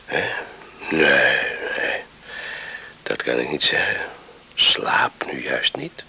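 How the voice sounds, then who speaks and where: A man's voice: a bass voice, sing-song, slow, creaky, pushed and bright, breathy edge, whispered, exaggerated voice. An actor playing a drowsy, placid young village man in a Dutch radio play, murmuring hesitant short answers. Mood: drowsy, hushed.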